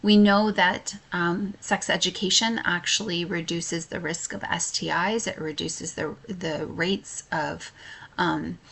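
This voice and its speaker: An American woman giving an informal lecture as a college instructor, speaking earnestly in a halting way with frequent pauses. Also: lowish voice, fairly even intonation, vocal fry, a bit bright, breathy edge, low-quality recording.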